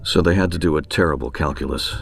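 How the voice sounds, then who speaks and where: An actor playing a shrewd, sardonic older military intelligence officer in a radio drama, measured and professorial. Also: male voice, rumbling low, creaky, husky, exaggerated voice. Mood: instructive.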